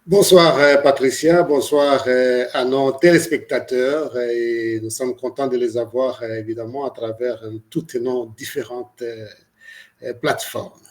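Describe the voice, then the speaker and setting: A man speaking as a priest and co-host, explaining things conversationally to viewers in a halting, repetitive way with frequent verbal tags. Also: mid-range voice, fairly even intonation.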